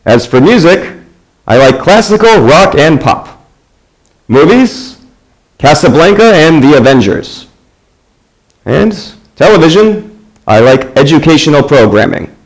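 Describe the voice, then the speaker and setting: A man in his thirties from the United States, narrating an English lesson in a clear, deliberate way. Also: on the high side, very animated, low-quality recording. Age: 30s. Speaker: English teacher. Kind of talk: scripted classroom narration.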